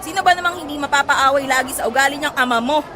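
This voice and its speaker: A woman's voice: high voice, fast, crowd noise, lightly acted. A woman playing an exasperated, struggling mother and nagging wife in a Filipino audio drama, scolding and sarcastic.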